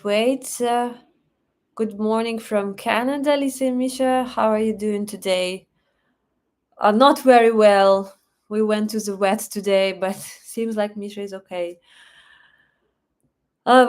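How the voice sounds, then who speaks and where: Slow-talking Russian woman livestreamer. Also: on the high side. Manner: chatty, reads chat questions aloud and thanks donors. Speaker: livestream host. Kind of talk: live stream chat reading comments.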